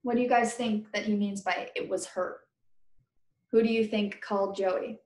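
An American woman in her thirties reading a children's book aloud as a teacher, pausing to explain and ask listeners questions in simple words. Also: mid-range voice, steady tone, a little mellow. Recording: roomy, echoing webcam recording.

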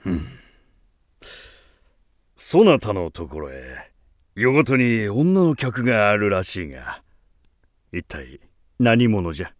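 A man's voice: on the low side, very animated, slow pace, long pauses, dry recording, clean studio sound. An actor playing a wary, inquisitive old man in a radio drama, with solemn, archaic elderly speech. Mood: grave warning. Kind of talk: radio drama acting of a ghost tale.

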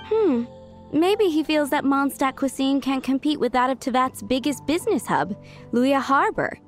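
A woman voicing a proud, opinionated village cook in a video game, brisk and assertive. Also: sits high, animated. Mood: proud, dismissive. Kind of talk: acted video game dialogue. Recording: faint game music underneath.

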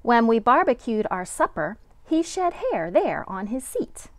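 A middle-aged woman, a kindergarten teacher, reading a picture book aloud to young children with deliberate, sing-song dramatic emphasis. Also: a little high, very animated, no room sound.

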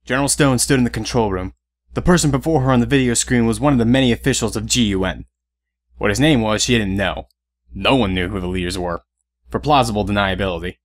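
A man's voice: on the low side, quick pace, a little hiss or hum. A man reading scripted narration for an audio drama as the storyteller.

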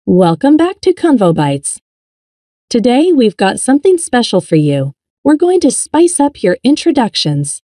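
A woman narrating a scripted English lesson in a clear, upbeat, instructional way. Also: fairly high pitch, dark tone, no echo, clean studio sound.

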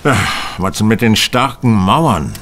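A man's voice: on the low side, lively intonation, slow, fairly bright tone, exaggerated voice. An actor playing a confident, methodical male detective in an audio drama, lecturing with precise articulation. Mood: eager, assured.

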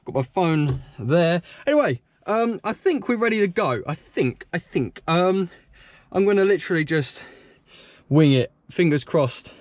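A man's voice: a little high, animated, unhurried, no echo. A young man with a British accent, chatting casually and ramblingly to viewers as a car vlogger, recorded inside a car on a head-mounted camera. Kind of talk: casual chat to viewers while driving. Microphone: head-mounted action camera.

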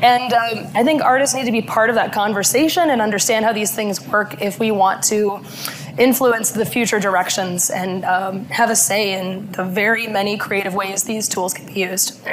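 A woman in her thirties, hoarse from laryngitis, giving a conference talk in a halting way with many fillers, speaking at a podium mic. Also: mid-range voice, fairly even intonation, a little hiss or hum, poor audio. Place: conference room.

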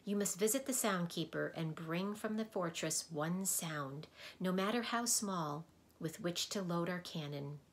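A woman's voice: on the low side, unhurried. A woman voicing a sad, earnest townsman in a storybook who pleads for help, speaking in a mournful, urgent tone. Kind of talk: storybook read-aloud.

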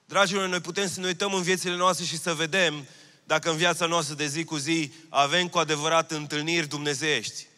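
A man's voice: on the high side, flat delivery, pushed and bright. A man in his thirties preaching a sermon from a church stage with a handheld mic, earnest and repeating his key phrases. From Romania. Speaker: pastor. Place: church stage, large hall. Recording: long hall reverb.

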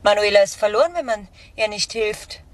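An actress playing a fervently protective care worker in a crime radio play, earnest and intense. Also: female voice, mid-range voice, animated, fairly quick, far from the mic, natural voice. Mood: intense, impassioned. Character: fervently devoted female care worker protecting a troubled girl. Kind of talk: German crime drama dialogue.

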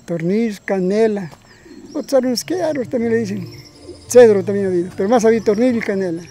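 A man's voice: sits high, quick pace, dark tone. An older man with a Peruvian accent, recalling the past casually in plain, repetitive phrases. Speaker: villager giving testimony. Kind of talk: casual oral recollection.

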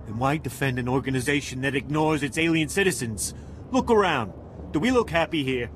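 An actor playing a distraught, indignant alien merchant with formal diction in a video game. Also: male voice, mid-range voice, expressive, a little fast, a bit bright, slight background noise, natural voice. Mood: bitter, desperate. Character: distraught alien merchant, bitter and pleading, devoted mate. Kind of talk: dramatic video game voice acting.

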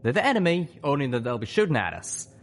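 Man doing a forceful folksy pegasus soldier. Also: mid-range voice, very animated, fast, pitched above the performer's own voice. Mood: forceful, earnest. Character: earnest, forceful male pegasus pony soldier with folksy drawl.

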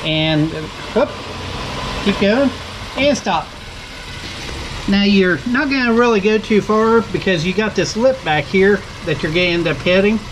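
A man's voice: high-pitched, expressive, a little slow, background noise. A man hosting his own channel, casually demonstrating car ramps outdoors in a folksy, plain-spoken, step-by-step way. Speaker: channel host reviewing tools.

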